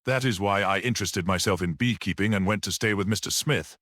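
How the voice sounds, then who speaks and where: A man voicing a courteous, self-assured detective in an audiobook story, speaking in a formal, measured and dry way. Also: lowish voice, a bit bright, dry recording, lightly acted. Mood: composed, explanatory.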